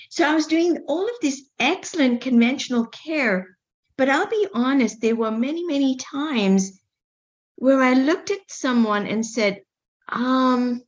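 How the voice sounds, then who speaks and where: A middle-aged woman from Kenya, a medical doctor telling her story in a casual interview, animated, often repeating words with playful asides. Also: high voice, muffled recording.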